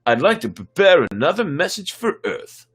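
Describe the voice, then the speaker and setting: A man's voice: fairly high pitch, sing-song, normal speed, slightly rough. A man putting on a pompous, self-important male starship commander in a dramatic sci-fi reading, over music. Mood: commanding.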